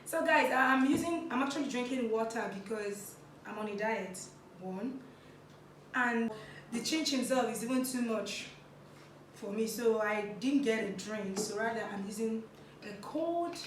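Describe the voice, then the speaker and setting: A woman's voice: a little high, a bit bright, distant mic, a little hiss or hum. A woman, hosting a casual vlog and chatting while she snacks, giggly and talkative, with crunchy bites between her words. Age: 20s. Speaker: vlog host. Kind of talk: casual talk while eating on camera. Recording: echoey room, set back from the mic.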